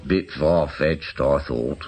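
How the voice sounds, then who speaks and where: Gossipy British clinic caretaker, very low voice, slow and unhurried, confiding radio-play delivery. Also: male voice, a little mellow, heavily acted. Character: gossipy working man, chatty clinic caretaker. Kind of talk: naturalistic radio drama acting.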